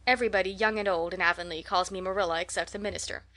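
A woman voicing a stern, practical older female guardian in an audiobook, brisk, firm and disapproving. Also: fairly low pitch, fairly even intonation, fairly bright tone, lightly acted. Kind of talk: audiobook character voice.

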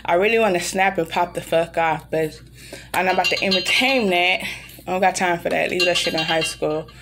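Irritated woman venting over loud background noise. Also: lowish voice, brisk. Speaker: vlogger. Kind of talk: casual rambling vlog chat. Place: room in an apartment.

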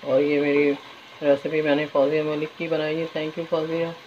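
A woman's voice: deep voice, little pitch movement, moderate pace, a little hiss or hum. A woman casually narrating a recipe as a home cook while she works at the stove. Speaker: home cooking vlogger. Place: home kitchen, indoors. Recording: dull phone audio, loud frying sizzle. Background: frying, sizzling and stirring.

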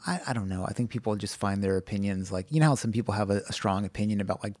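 Rambling man with a low voice. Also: rapid-fire, darkish tone, no echo. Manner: rambling, lots of "like" and restarts. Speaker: podcast co-host. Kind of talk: casual chat.

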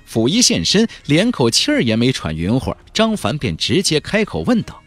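Male audiobook narrator, very animated delivery with a husky edge, faint music underneath. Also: medium pitch, moderate pace, dry recording. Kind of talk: dramatic scripted narration of a fantasy novel.

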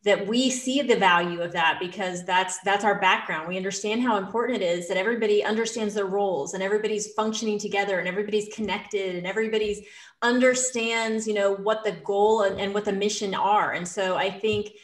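A woman in her thirties co-hosting a podcast, explaining in an earnest, steady way over a video call. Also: mid-range voice, fairly quick. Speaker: podcast co-host, dental hygienist. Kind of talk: casual podcast chat. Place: room with wood-plank wall. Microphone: studio mic on video call. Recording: roomy echo.

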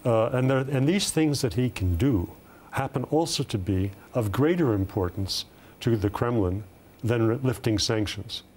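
A man in his seventies giving political commentary as a panel guest, deliberate and formal with hesitant pauses. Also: medium pitch, darkish tone, husky. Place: television studio round table.

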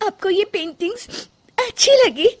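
A woman's voice: high voice, animated, brisk, voice pushed up. A woman voicing a young daughter-in-law who is angry, defiant and given to tears. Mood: apologetic. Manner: sharp, defiant, then sobbing.

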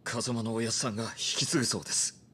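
An actor playing a loyal, earnest young yakuza underling who speaks deferentially, in a crime video game. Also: male voice, mid-range voice, steady tone, quick pace, sharp tone, a little hiss or hum, lightly acted. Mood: solemn, dutiful.

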